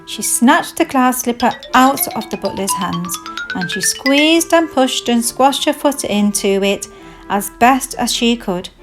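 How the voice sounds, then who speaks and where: A woman narrating a children's storybook in a measured, expressive read-aloud manner. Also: a little high. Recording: music behind the voice. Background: music.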